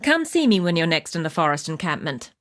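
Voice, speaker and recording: Casting: a game's wilderness huntress, slightly low register, purposeful, wry and matter-of-fact. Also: female voice, very animated, fairly quick, fairly bright tone. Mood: confiding, instructive. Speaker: game character voice actor. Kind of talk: video game quest-giver dialogue.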